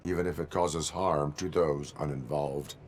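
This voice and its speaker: A dutiful cyborg policeman from a video game, speaking in a bottomless low register. He is stoic, formal and mechanical, in short clipped statements. A faint ambient noise sits behind him. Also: steady tone, measured pace, a little mellow. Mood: stoic, curt. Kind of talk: video game character acting.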